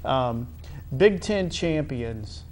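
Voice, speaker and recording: A middle-aged American man conducting a conversational sports interview, halting and wordy, often repeating phrases. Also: mid-range voice, animated, a little mellow, a little hiss or hum. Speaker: interviewer, high school athletic director.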